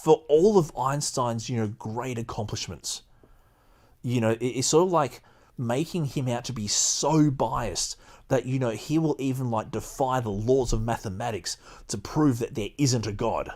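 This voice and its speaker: A man hosting a podcast, reading aloud and giving casual commentary in a halting, emphatic way. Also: mid-range voice, darkish tone. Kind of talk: casual commentary mixed with read-aloud passages.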